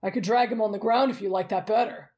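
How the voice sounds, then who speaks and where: A woman voicing a brash, bossy young male warrior in an anime fan roleplay, blunt and gruff in manner. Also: mid-range voice, steady tone, fast talker, a little mellow, lightly acted. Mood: grumpy, blunt.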